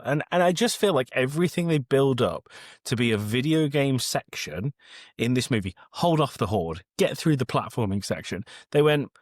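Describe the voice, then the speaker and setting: A man's voice: medium pitch, expressive, moderate pace, no echo, clean studio sound. A man co-hosting a casual film review chat, exasperated, blunt and sweary. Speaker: podcast co-host.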